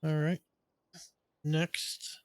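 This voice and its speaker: A man's voice: on the high side, monotone, extremely slow, no echo, studio quality. A man giving casual, offhand commentary as he plays through a video game. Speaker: gamer commentator.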